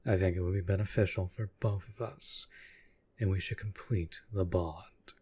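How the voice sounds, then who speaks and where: A man playing a possessive, proud and devoted demon lover in an intimate audio roleplay, speaking formally and intensely with a breathy, husky voice. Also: low voice, steady tone, mellow. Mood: insistent. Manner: slow, formal, deliberate, intense.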